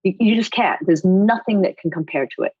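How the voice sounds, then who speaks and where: A middle-aged woman from Rhode Island, a choreographer telling stories in a casual interview, expressive and quick to laugh. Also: mid-range voice, husky, no echo, highs cut off. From United States, Rhode Island. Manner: animated, expressive storyteller who laughs often. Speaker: guest choreographer.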